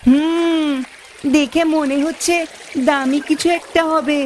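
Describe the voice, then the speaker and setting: A woman's voice: high-pitched, fairly even intonation, slow pace, slight background noise. A woman voicing an amazed village youngster in a fantasy cartoon. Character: wonder-struck village youngster.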